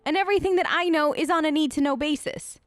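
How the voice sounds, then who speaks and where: A woman with a high voice chats her way through a role-play playthrough. She is sarcastic and conversational, recorded close and very dry. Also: fast talker, no room sound. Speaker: gaming video host. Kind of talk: improvised in-character role-play let's play.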